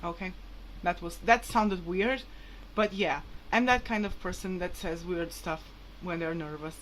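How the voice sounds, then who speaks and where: A woman's voice: lowish voice, unhurried, a little hiss or hum, rough recording. A woman whose mother tongue is Portuguese, talking casually and hesitantly to viewers in a rambling, informal vlog. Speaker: vlogger.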